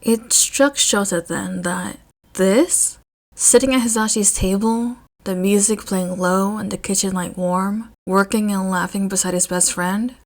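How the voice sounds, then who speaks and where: A woman's voice: medium pitch, fairly even intonation, a little slow. A woman narrating a scripted story expressively, as the reader of a piece of fiction.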